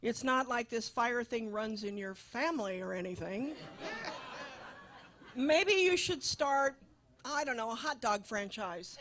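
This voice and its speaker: A woman's voice: on the high side, lively intonation, measured pace, fairly bright tone. A woman playing a sarcastic, exasperated landlady in a comic radio play, needling and dry. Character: nagging, sarcastic female landlady, exasperated and money-minded.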